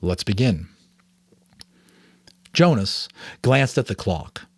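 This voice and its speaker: A man narrating a novel as a dramatic, expressive audiobook reading. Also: on the low side, slow, breathy edge, dry recording. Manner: expressive, theatrical.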